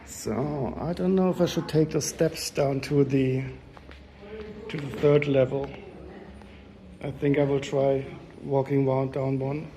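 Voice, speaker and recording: A man with a raspy voice and a sore throat, chatting casually with viewers on a live travel stream from a lookout tower. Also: mid-range voice, steady tone, slow, warm tone, low-quality recording. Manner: chatty, answering viewers while touring. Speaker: livestreamer. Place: outdoors on a tower lookout above a city.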